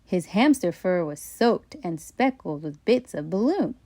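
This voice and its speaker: A woman reading a children's bedtime story aloud in an expressive narrating style. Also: lowish voice, measured pace, no echo.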